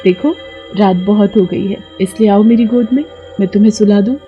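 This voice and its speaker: A woman's voice: medium pitch, brisk, lo-fi sound, close to an everyday voice. Protective ghost witch mom, done by a woman, fierce but doting, cartoon horror tale, music under it. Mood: tender. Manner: menacing to foes, doting to her child.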